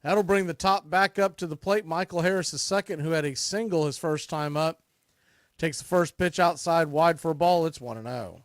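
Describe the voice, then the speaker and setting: Man, radio baseball play-by-play, slightly high voice, dry close sound, steady calls. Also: moderate pace, no room sound. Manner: steady calls, rising excitement on big plays. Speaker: play-by-play announcer.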